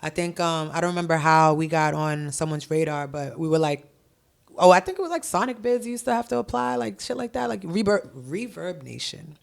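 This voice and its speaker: A young adult woman from Haiti, a guest on a podcast, telling stories in an animated, profane way over a headset mic in a dark studio. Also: on the low side, a little fast, creaky. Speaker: guest, hip-hop artist. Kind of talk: casual podcast interview. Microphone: headset with boom mic.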